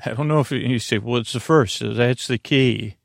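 Gravelly male voice, halting and stammering, rambling sleep-podcast monologue, very dry close sound. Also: medium pitch, no echo. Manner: meandering, halting, stammering, trailing off into tangents. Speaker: podcast host. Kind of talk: rambling bedtime monologue to help listeners drift off to sleep.

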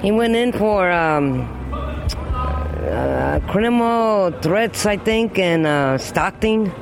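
A man's voice: sits high, expressive, drawn-out words, gravelly, music in the background. An older man on a city street, telling a story in a plain, rambling way. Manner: plain, rambling, restarts dates. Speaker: interviewee. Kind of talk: street interview. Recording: street traffic noise. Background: traffic noise.

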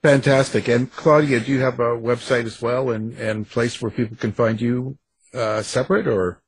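Guy hosting a chat show interview, really husky rough voice, informal, trips over questions. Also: medium pitch, steady tone, normal speed, rough recording. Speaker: interview host.

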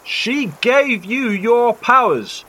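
A man's voice: very high voice, lively intonation, slow pace, bright, forceful tone, full character voice, poor audio. A man acting in an audio drama as a worried, suspicious old friend, probing and pointed in his questioning. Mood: accusing. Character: Colin, suspicious, worried male old friend confronting a companion.

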